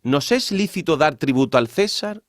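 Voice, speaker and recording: A man playing a crafty, flattering challenger in a dramatized scripture reading, theatrical and insistent. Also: fairly high pitch, moderate pace, no echo, studio quality, close to an everyday voice. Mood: flattering, sly. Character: crafty, flattering male challenger among religious elders.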